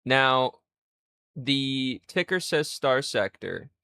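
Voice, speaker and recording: A man in his twenties streaming live, rambling in a crude, self-mocking way and thinking out loud. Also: mid-range voice, expressive, extremely slow, smooth, no echo. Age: 20s. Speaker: livestreamer. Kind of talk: casual livestream chat.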